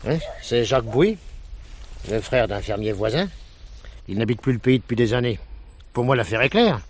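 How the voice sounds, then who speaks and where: An actor playing a brisk, methodical gendarme chief in a French radio crime drama, questioning and reporting in an official, matter-of-fact way. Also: male voice, mid-range voice, animated, lightly acted. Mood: matter-of-fact, explaining.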